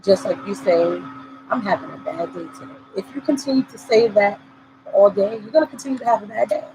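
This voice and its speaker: A hoarse woman, fighting off a cold, talks earnestly to her listeners. She encourages them and repeats her main points for emphasis. The recording is made at home, with clear noise behind her. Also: medium pitch, fairly quick. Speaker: host. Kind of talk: motivational talk show monologue.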